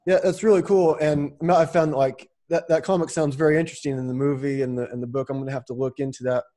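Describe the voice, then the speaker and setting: A man's voice: fairly high pitch, steady tone, fast talker, mellow. A man in his late thirties hosting a podcast, talking casually and hedging a lot with fillers. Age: 30s. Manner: rambling, hedging with "like" and "um". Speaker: podcast host. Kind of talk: casual interview conversation.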